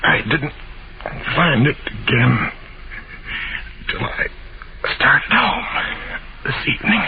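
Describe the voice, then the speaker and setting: An actor playing a dying, injured man gasping out a last confession in halting phrases in an old-time radio drama. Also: fairly high pitch, sing-song, heavily acted. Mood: gasping, desperate. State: weak, dying, short of breath. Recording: noisy, muffled old radio broadcast.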